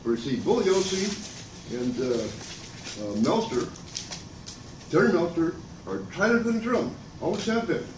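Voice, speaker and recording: Booming, abrasive older man, far off. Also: animated, unhurried, pauses often. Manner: loud and booming, abrasive, rambling and aggrieved. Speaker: member of the public giving comment. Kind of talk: public comment at a meeting. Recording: echoey room from far off, noisy.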